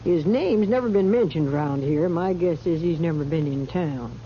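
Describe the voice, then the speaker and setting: A woman's voice: low-pitched, normal speed, close to an everyday voice. An actress playing a shrewd, worldly frontier saloon woman in a radio western, dry and matter-of-fact. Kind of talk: 1950s radio western acting. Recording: muffled, noisy old radio recording.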